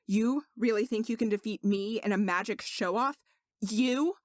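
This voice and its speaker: A woman's voice: a little high, normal speed, a little mellow, no room sound, clean studio sound, close to an everyday voice. Woman reading as a scornful, haughty male sorcerer. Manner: scornful, pompous.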